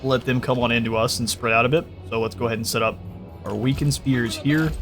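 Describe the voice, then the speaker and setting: A man's voice: mid-range voice, fairly even intonation, a little fast, a bit bright, faint music behind. A man casually commenting on his own strategy game battle, thinking aloud as he gives orders to his units. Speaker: gaming channel host.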